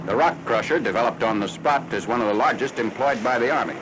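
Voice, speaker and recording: An American man reading scripted 1940s military newsreel narration in a formal, factual, measured announcer style. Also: medium pitch. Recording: noisy 1940s newsreel film soundtrack.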